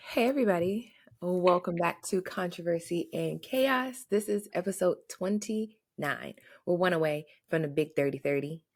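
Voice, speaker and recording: A woman in her thirties co-hosting a casual podcast from her home, chatty and animated, acting out little quotes as she tells stories. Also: fairly low pitch, moderate pace, hardly any pauses. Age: 30s. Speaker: podcast co-host. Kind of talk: casual chat. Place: her home, in front of a flower wall.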